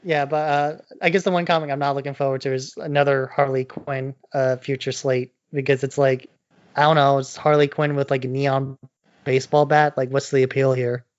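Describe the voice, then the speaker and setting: A man's voice: mid-range voice, little pitch movement, normal speed, dry recording, lo-fi sound. A man co-hosting a podcast in casual, opinionated chat, blunt and prone to swearing. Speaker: podcast co-host.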